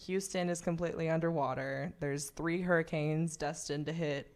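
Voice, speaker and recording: A woman's voice: sits low, steady tone, normal speed, darkish tone. A young American woman chatting casually on air as a radio host.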